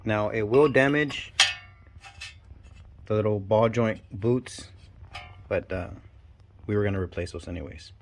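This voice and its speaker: A man with a stuffy, nasal cold talking casually and haltingly while working on a car in his garage. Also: fairly low pitch, noisy background. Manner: talks while working, halting and self-deprecating. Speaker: channel host. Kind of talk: casual DIY garage walkthrough. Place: home garage.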